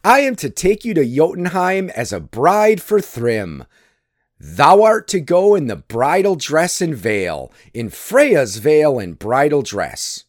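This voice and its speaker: A man's voice: lowish voice, wildly swooping pitch, takes it slowly, no room sound, studio quality, full character voice. A man voicing a cunning, mocking trickster god from a myth, teasing and coaxing as he schemes. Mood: gleeful mockery. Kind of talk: dramatic myth storytelling.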